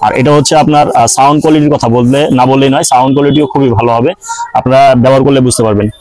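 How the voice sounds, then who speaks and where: A man with a Bangladeshi accent giving an informal product demonstration and sales pitch for an online shop, explaining things step by step directly to viewers. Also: fairly even intonation, fast talker, a little mellow, faint music behind, low-quality recording.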